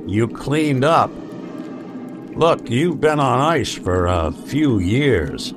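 A wry hard-boiled detective in a radio play. He talks in a dry, down-to-earth way with lively pitch, music clearly behind him. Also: extremely slow. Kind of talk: radio drama acting.

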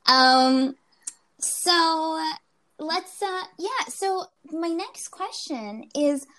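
Slow, hesitant woman interviewer. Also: very high-pitched, expressive, a bit bright. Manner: hesitant, many ums, giggly. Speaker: interview host. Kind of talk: conversational interview.

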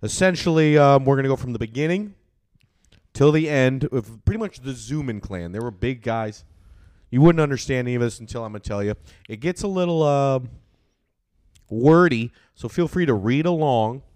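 A man's voice: mid-range voice, normal speed, a little mellow, no echo. A man hosting a podcast, talking in a loose, rambling way with lots of fillers and casual swearing. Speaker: podcast host.

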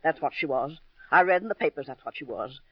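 High-voiced, pushy older health-food shop woman. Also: animated, quick pace, no room sound. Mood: mournful, blunt. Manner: pushy sales patter, emphatic, fragmentary sentences. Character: muscular gray-haired woman health-food proprietor, pushy, sentimental. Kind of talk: 1950s radio drama acting. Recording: muffled old radio recording.

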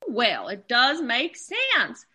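Perky homemaker on old timey radio, high bright voice, super gushy and polite. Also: female voice, wildly swooping pitch, very slow, breathy edge, exaggerated voice. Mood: upbeat. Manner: gushing, polite, presenterly. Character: gushing old-fashioned homemaker co-host, prim and perky. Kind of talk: parody of old-time radio homemaking show.

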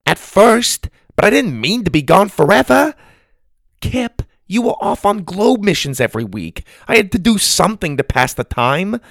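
A man voicing a feisty, indignant old grandmother, a sharp-tongued, bossy adventurer in a storybook. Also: sits high, sing-song, normal speed, vocal fry, no echo, studio quality, pitched above the performer's own voice, exaggerated voice. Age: older. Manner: exclamatory, teasing, bossy.

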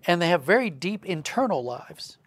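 A man's voice: sits high, big pitch swings, moderate pace, dry recording. A man speaking as a podcast guest, explaining reflectively in conversation and building his thoughts in stages. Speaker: podcast guest, writer and creative director.

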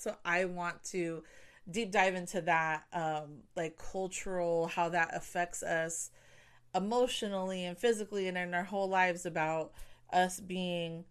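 Young woman host, casual candid monologue, smooth clear voice, slightly low pitch, chatty with many fillers. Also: a bit bright. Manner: candid, chatty, heavy use of "like" and "you know". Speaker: podcast host.

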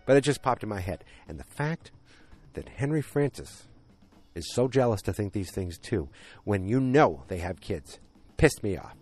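A man hosts a solo podcast and recaps an episode in a loose, rambling way, often correcting himself. His voice sits low but moves a lot in pitch, and it sounds a little worn from a recent concert. He records outdoors. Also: normal speed. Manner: rambling, self-correcting, animated. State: voice deepened from singing at a concert. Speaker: podcast host.

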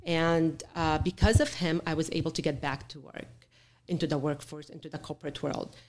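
Middle-aged woman guest, low voice, halting delivery full of fillers. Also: fairly even intonation, noisy background. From born in Chicago, raised in Beirut. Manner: halting, with many fillers and restarts. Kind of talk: interview storytelling.

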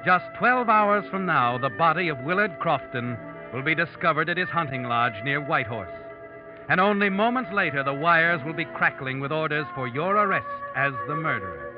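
An actor playing an all-knowing, taunting narrator in a 1940s radio suspense show, dramatic and menacing. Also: male voice, a little high, lively intonation, moderate pace, close to an everyday voice. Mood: ominous. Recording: dull old radio recording. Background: prominent dramatic music.

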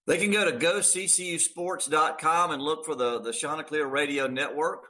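A man's voice: fairly high pitch, normal speed, sharp tone. A middle-aged man, a radio announcer, chatting casually as an interview guest in a folksy, emphatic way, repeating words for stress. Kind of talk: casual sports interview chat.

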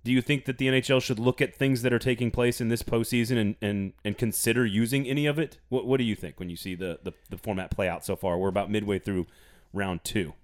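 A man's voice: on the low side, steady tone, fast. A man hosting a casual sports podcast, playful and teasing, reading an ad with gusto. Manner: playful, teasing, reads ads with enthusiasm. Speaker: podcast host.

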